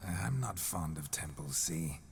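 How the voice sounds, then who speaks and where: Deep-voiced, flamboyant ghostly rake. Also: male voice, expressive, a little slow, creaky, slight background noise, heavily acted. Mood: charming, boastful. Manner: flamboyant, boastful, courtly flowery speech. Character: roguish ghost nobleman speaking through a monster hunter's body. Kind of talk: video game character acting.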